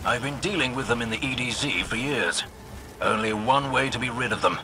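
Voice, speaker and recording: A man's voice: medium pitch, a little fast, noisy background, lightly acted. A British man playing a polite, unflappable older scout in a video game, speaking in dry understatement. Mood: dry, measured. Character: genteel older male scout and sniper, dryly witty, unflappable.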